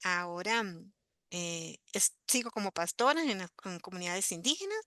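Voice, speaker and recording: A woman speaks as a pastor on a panel. Her voice is bright and forward, and she talks in a steady, encouraging way. The sound is dry and close. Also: fairly low pitch, normal speed, no room sound. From Costa Rica. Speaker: pastor and theology student. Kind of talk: panel self-introduction.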